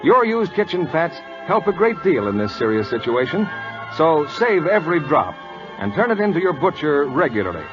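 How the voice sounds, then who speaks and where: Animated American man announcing on old radio. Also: on the high side, moderate pace, music in the background. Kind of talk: scripted 1940s radio announcing. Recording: dull vintage radio recording.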